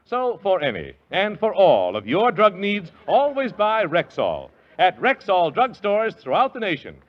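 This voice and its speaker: A man announcer reading a scripted radio commercial as a smooth, emphatic sales pitch. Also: wildly swooping pitch, measured pace. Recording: dull lo-fi 1940s radio.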